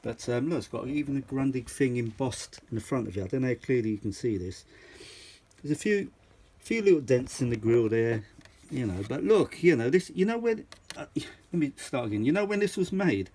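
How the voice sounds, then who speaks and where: A mature man with a slightly rough, husky voice talks at an ordinary male pitch. He shows off vintage gear in loose, unscripted chat, wandering between asides and short exclamations, often saying things twice to stress them. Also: animated, fairly quick, mellow. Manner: rambling, exclamatory, repeats himself for emphasis. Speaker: vintage audio enthusiast showing a machine. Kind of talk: casual unscripted show-and-tell chat.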